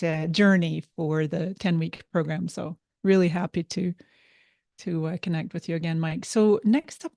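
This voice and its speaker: A woman's voice: fairly low pitch, no room sound, studio quality. Effusive woman hosting a video panel. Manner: effusive, warmly encouraging. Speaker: host.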